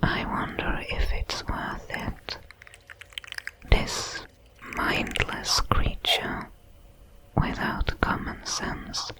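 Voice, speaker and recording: Woman whispering as a slow, watchful alien creature. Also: rough recording. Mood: doubtful, pondering. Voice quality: breathy whisper. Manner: deliberate, measured, formal diction. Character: many-legged alien predator, calm, watchful, protective, coldly curious. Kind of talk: whispered ASMR audio roleplay narration.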